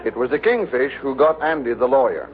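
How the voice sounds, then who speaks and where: A man's voice: mid-range voice, animated, fairly quick. A man giving dramatic scripted narration for a mid-century radio show. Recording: tinny old radio recording with hiss.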